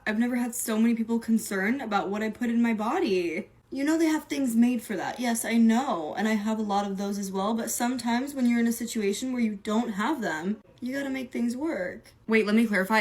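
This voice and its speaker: A woman explaining herself unabashedly in a social media clip. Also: a little high. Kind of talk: defensive social media talk.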